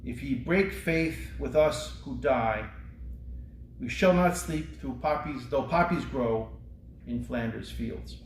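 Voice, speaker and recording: A man reading poetry aloud and briefly introducing it, with a measured, solemn delivery. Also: mid-range voice. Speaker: librarian reading poems aloud. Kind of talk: poetry recitation with short spoken introductions. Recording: echoey room with faint background noise.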